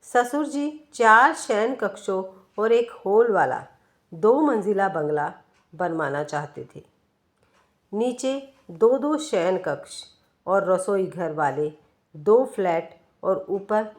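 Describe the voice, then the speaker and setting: Female narrator for an emotional first-person short story, unhurried delivery, mid-range pitch, expressive measured reading.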